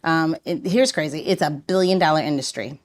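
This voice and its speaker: Low-voiced woman hosting a chatty podcast. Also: fairly quick. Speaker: podcast host.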